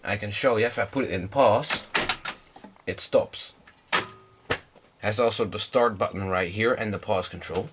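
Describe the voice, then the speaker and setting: A man's voice: on the low side, a little slow, pauses often, heavy background noise. A young man with a slight cold gives a casual, informal demonstration, explaining things in a hesitant way. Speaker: hobbyist presenter.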